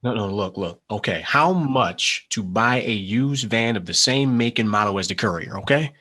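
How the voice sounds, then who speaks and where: A man's voice: fairly low pitch, animated, moderate pace, bright, forceful tone. A man playing a desperate, insistent young schemer in a screenplay table read, pleading with feeling. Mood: persuasive.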